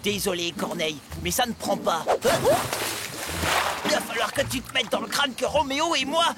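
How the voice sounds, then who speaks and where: A man voicing a naive, excitable young dog-sitter in a children's cartoon, talking in casual gushing slang. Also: extremely high pitch, moderate pace, very noisy. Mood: dismissive. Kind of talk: animated children's cartoon dubbing. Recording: loud music behind the voice.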